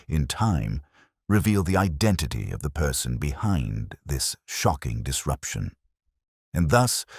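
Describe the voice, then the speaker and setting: Male audiobook narrator for mystery fiction: very deep bass, expressive intonation, measured storytelling. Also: no echo, studio quality. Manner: measured, literary storytelling delivery.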